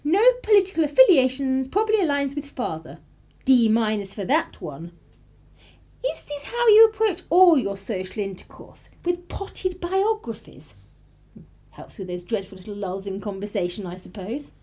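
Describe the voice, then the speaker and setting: A woman's voice: fairly high pitch, very animated, moderate pace, warm tone. A young woman with an upper-class English accent playing a spirited, sardonic 1930s vicar's daughter in a radio play, with arch, clipped period phrasing. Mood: indignant, sardonic. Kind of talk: period radio play acting, narration and dialogue.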